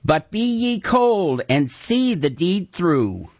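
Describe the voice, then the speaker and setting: Guy putting on a gruff bellowing old wizard, higher than his own voice, slow and dull-sounding. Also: big pitch swings, no room sound, heavily acted. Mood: gruff commanding. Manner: bellowing, archaic rustic speech. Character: gruff old male wizard, severed talking head, ruthless. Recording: dull lo-fi recording.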